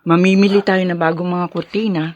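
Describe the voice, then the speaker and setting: A woman's voice: fairly low pitch, flat delivery, a little fast, a little mellow, no room sound, lo-fi sound, natural voice. A woman acting an anxious, tender and doubtful wife in a Filipino radio drama, emotive and hesitant in conversation. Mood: affectionate, wistful.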